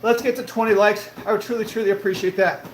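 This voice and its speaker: High-voiced motivational streamer man. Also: fairly even intonation, fast. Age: 30s. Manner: upbeat, motivational, reads and answers viewer comments. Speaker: livestream host. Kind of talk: live-stream chat while on a treadmill. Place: home room with a treadmill, indoors. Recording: roomy echo, faint background noise.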